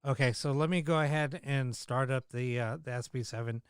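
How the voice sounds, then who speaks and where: A man hosting a paranormal livestream, chatting casually with many fillers and restarts, his voice slightly hoarse. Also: mid-range voice, brisk, no room sound, studio quality. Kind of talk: casual live-stream chat.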